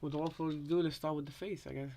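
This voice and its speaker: A man's voice: fairly high pitch, fairly even intonation, rapid-fire, dark tone, slight background noise. A man streaming casual reaction commentary in a hesitant, rambling manner.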